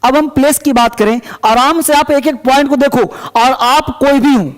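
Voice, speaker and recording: Male teacher with a very high voice, recorded with a long reverb. Explains step by step and slows down for example sentences. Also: fairly even intonation. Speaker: English teacher. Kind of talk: spoken English lesson.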